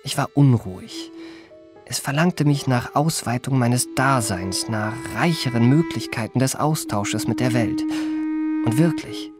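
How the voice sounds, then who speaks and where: A man's voice: mid-range voice, lively intonation, measured pace, natural voice. An actor playing a suave young liftboy and charming con man, narrating his memoirs in a polished, ornate, self-satisfied way in a radio play. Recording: music behind the voice.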